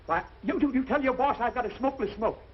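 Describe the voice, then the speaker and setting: A man's voice: very high-pitched, fast. An actor playing a flustered inventor who stammers and repeats his words in a 1940s comedy. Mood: flustered, eager. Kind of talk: 1940s comedy film acting. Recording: muffled vintage film soundtrack, faint noise.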